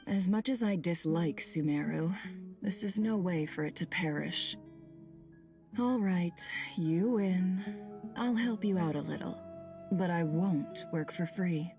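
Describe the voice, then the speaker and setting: A woman voicing a shrewd, cool woman boss in a video game, measured and sardonic. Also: fairly low pitch, music in the background. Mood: sly, composed. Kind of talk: scripted video game voice acting.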